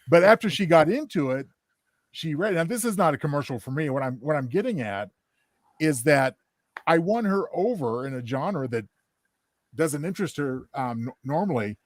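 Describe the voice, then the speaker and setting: A man co-hosting a podcast in casual chat, speaking earnestly in wordy, halting sentences. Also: a little high, animated, no echo. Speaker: podcast co-host.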